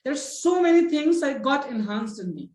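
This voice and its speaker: A woman with an Indian English accent hosts a casual discussion, her voice sitting a little high. She talks chattily, with lots of fillers and restarts, and keeps checking in with her guests. The room has a clear, echoey ring. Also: big pitch swings, moderate pace, darkish tone. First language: Hindi. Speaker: host of a spoken English discussion.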